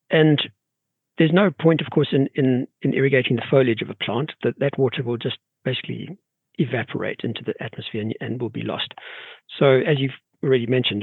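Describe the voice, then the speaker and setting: A middle-aged man from South Africa with a South African accent, a guest expert talking in a measured, halting way with many restarts. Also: mid-range voice, gravelly, breathy edge, no room sound. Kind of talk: casual podcast interview. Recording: tinny phone-like remote line.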